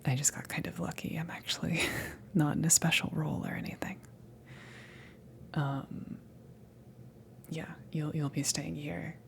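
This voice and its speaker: A woman plays a friendly alien crewmember in an intimate ASMR roleplay. Her voice is low and gravelly with fry, often slipping into a soft whisper. She talks haltingly, awkward and easily flustered. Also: flat delivery. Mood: shy, welcoming. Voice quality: soft, breathy half-whisper. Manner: hesitant, awkward, giggly, halting.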